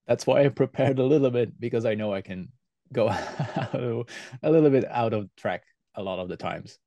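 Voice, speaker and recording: Podcast guest: male, relaxed and fairly low voice, close dry sound, explains things earnestly. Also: normal speed, creaky, no echo, dull audio. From Belgium. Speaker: podcast guest, airsoft team leader. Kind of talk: conversational interview answers.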